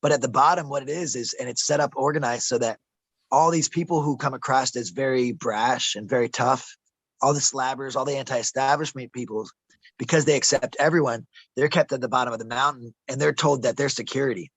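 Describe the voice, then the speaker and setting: Gravelly man telling wild stories. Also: medium pitch, fairly quick, no room sound. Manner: conspiratorial, says bro and man, trips over words. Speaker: interviewee, traveler telling his stories. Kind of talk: casual rambling storytelling. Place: outdoors in a desert camp.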